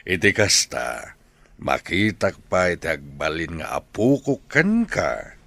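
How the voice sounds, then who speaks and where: An elderly Ilocano father in a radio drama, devout and earnest. His voice is very low and husky, and he speaks slowly with expressive swings, wishing his sons well. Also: no room sound, exaggerated voice. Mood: fatherly, encouraging. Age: older.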